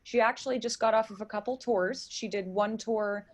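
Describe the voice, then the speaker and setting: Female interview guest, mid-range pitch, chatty and enthusiastic, conversational delivery. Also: moderate pace, darkish tone. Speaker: interview guest, theater stage manager and director.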